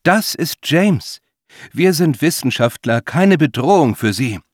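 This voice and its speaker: A man's voice: fairly high pitch, fairly quick, no room sound, studio quality, voice pushed up, lightly acted. A man voicing an anxious, wry, emphatic woman scientist in an audiobook adventure. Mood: anxious, pleading.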